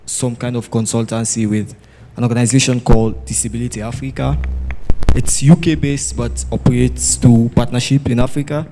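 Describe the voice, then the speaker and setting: A man's voice: mid-range voice, flat delivery, moderate pace. Sierra Leonean man speaking in an echoey hall. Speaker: audience participant, former consultant. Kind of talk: sharing experience at a conference. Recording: echoey hall, faint noise.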